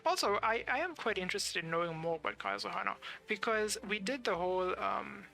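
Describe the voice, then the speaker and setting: A man's voice: sits high, expressive, brisk, creaky, pushed and bright, soft background music. A man giving casual live commentary as he plays a game, musing aloud in a hesitant way. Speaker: game streamer.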